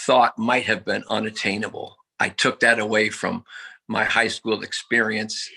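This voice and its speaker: A man in his seventies giving a humble, halting acceptance speech over a video call, with many fillers and self-deprecating jokes. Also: fairly low pitch, creaky, pushed and bright, husky, low-quality recording. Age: 70s.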